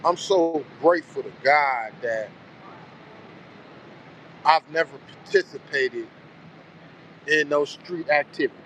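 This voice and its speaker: A man's voice: fairly high pitch, slow, long pauses, a bit bright, slight background noise, low-quality recording. A man in his forties talking casually in a loose, reflective monologue, often saying "you know what I'm saying". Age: 40s. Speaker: vlogger.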